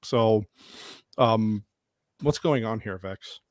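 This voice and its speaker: A man's voice: fairly low pitch, slow, dry recording, clean studio sound. A man hosting a casual panel podcast who jokes, swears and laughs often. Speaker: podcast host.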